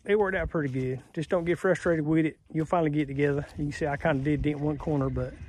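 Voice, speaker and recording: A man with a Southern US accent chats casually, folksy and rambling. His voice sits a little higher than most men's. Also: steady tone, quick pace, a little mellow, a little hiss or hum. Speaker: homesteader. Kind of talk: casual vlog talk.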